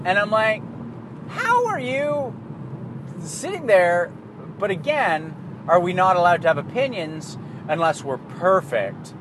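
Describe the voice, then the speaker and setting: A man's voice: sits high, sing-song, slow pace. Profane rambling Canadian man outdoors. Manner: rambling, profane, laughs at himself. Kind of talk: casual monologue. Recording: street and car noise behind.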